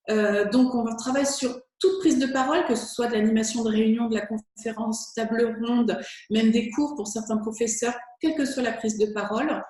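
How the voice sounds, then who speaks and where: A woman's voice: fairly high pitch, darkish tone. Enthusiastic French woman in an echoey room. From France. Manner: enthusiastic, fluent with occasional fillers. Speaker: guest, speaking coach. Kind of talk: interview answers.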